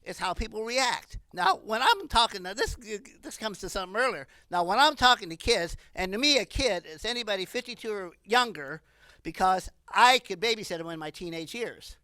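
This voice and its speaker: A woman in her late sixties delivers rambling stand-up comedy. Her voice is bright and forceful, with very lively swings in pitch, and the sound is dry and close with little room echo. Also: brisk. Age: 60s. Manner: animated, rambling.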